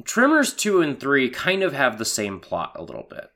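A man with a hoarse voice from a cold, co-hosting a casual podcast chat and laughing as he talks. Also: mid-range voice, normal speed. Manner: animated, laughing, swearing. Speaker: podcast co-host.

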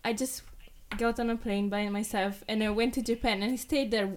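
A woman's voice: on the high side, fairly even intonation, normal speed. A young woman, hosting a casual chat and rambling with many fillers. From Europe.

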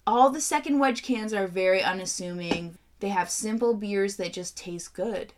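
A woman's voice: medium pitch, normal speed, slight background noise. A young woman co-hosting a casual beer-tasting podcast, joking and swearing freely as she rates beers. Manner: swears freely, loose and playful. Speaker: podcast co-host.

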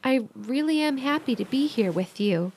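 A young American woman playing a protective, quick-tempered, devoted big sister in a modern audio drama. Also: sits high, animated, darkish tone, a little hiss or hum. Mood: earnest, worried.

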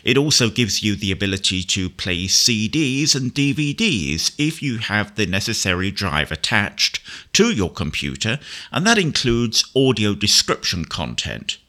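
A man gives a spoken software demonstration with a bright, forward voice that sits slightly low. He explains each step methodically and precisely, in the manner of a practised instructor. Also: big pitch swings, unhurried. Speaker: software tutorial presenter.

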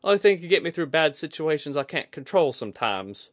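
A man voicing a gruff, plain-spoken, superstitious woman of the sea with folksy, clipped speech in an audiobook story. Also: fairly high pitch, sing-song, fairly quick, no echo, studio quality, pitched above the performer's own voice. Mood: wry.

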